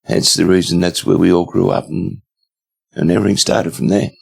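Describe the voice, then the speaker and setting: A man in his seventies with an Australian accent, a guest telling stories in a relaxed, rambling way with many fillers. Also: low voice, fairly quick, gravelly, husky. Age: 70s. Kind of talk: casual storytelling interview.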